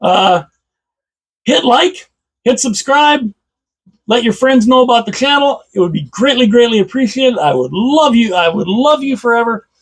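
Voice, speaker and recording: A man with a slightly hoarse voice, chatting casually about hockey as a channel host, rambling and repeating himself with enthusiasm. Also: extremely high pitch, animated, moderate pace, low-quality recording. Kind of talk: casual solo commentary to camera.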